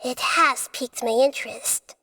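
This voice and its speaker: High-voiced, composed little goddess. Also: female voice, vocal fry, bright, forceful tone, a little hiss or hum, heavily acted. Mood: wary, dignified. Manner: measured, earnest, formal. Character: small, wise, composed young goddess of wisdom. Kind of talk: video game voice acting, formal dialogue.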